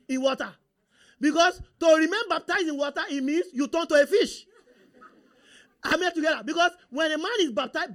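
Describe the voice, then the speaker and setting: A man with a Nigerian accent, Yoruba his first language, preaching a sermon. He talks in a fairly high voice and keeps throwing questions at the congregation, prompting them to answer and confirm they are following. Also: fairly bright tone. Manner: call-and-response, rhetorical questions to the congregation.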